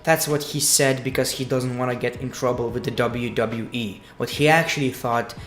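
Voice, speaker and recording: A man's voice: medium pitch, steady tone, moderate pace. A man from Lithuania with a Lithuanian accent, giving casual, opinionated wrestling commentary in a blunt, crudely joking way. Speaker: wrestling news vlogger. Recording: echoey room with music underneath.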